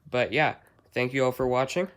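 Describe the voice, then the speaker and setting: A man giving a casual, somewhat halting hands-on product demonstration, explaining step by step. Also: mid-range voice, fairly bright tone, clean tone. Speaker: hobbyist product reviewer.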